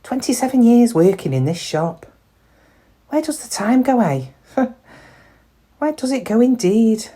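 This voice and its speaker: An actress playing a middle-aged British shop worker in a confiding, wry, conversational monologue, kind but quietly weary. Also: female voice, on the high side, sing-song, measured pace, long pauses, husky, heavily acted. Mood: wry, chatty. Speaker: actor in a dramatic monologue.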